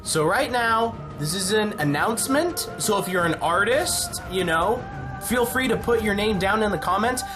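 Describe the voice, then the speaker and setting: Excited, rambling host over prominent music. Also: mid-range voice, normal speed. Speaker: channel host and narrator. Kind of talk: casual announcement vlog. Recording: music behind the voice.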